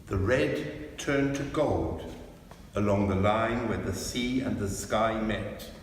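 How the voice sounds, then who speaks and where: Slow-paced Englishman reading in an echoing church. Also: fairly low pitch. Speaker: clergyman reading a storybook aloud. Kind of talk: expressive scripted storybook narration. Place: inside a church. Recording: very echoey church, long reverb, faint noise.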